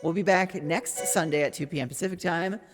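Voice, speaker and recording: A woman with a slightly raspy voice, streaming a story game, reading its text aloud and chatting casually with some swearing. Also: lowish voice, brisk. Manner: chatty, swearing, laughing, trailing off. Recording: music playing in the background.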